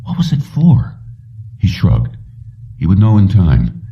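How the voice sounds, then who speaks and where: A man with a very low voice narrates a story from a script. The sound is dull and muffled, as if he sits well away from the microphone. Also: wildly swooping pitch, slow, far from the mic. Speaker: audiobook reader.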